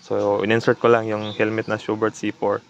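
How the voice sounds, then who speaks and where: A man's voice: lowish voice, completely flat delivery, normal speed, vocal fry, highs cut off, a little hiss or hum. A man narrating a casual repair vlog in a plainspoken way, mixing in English terms. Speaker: electronics repair technician and video host.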